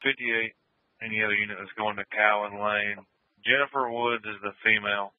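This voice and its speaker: A man's voice: on the low side, fairly even intonation, normal speed, no echo, narrow, tinny audio. A man working as a police radio dispatcher, giving terse procedural updates and repeating road names over a scanner. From United States. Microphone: radio dispatch microphone.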